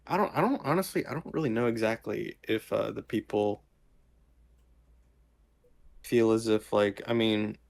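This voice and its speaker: A man with a lowish, very creaky voice chats casually as a podcast co-host. He is hesitant and halting, searching for his words as he goes. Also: darkish tone.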